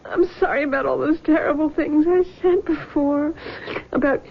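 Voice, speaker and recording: A woman's voice: high voice, normal speed, vocal fry. An actress playing a frightened young woman haunted by a dead lover, tearful and stammering, in a 1970s radio drama. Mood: remorseful. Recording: dull lo-fi old radio broadcast.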